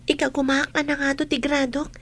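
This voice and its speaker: A woman's voice: high voice, flat delivery, normal speed. A woman playing a dutiful, respectful young daughter in a radio drama, speaking with a Filipino accent. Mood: curious, determined.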